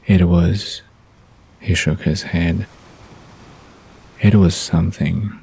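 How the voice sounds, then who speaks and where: A man narrating a scripted bedtime audio drama in a husky, gravelly, hushed storytelling voice. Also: sits low, fairly even intonation, takes it slowly.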